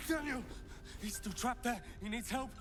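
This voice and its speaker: A man playing a haunted, desperate video game character, halting and pleading after being lost in shadows. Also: extremely high pitch, music in the background. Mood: desperate, urgent.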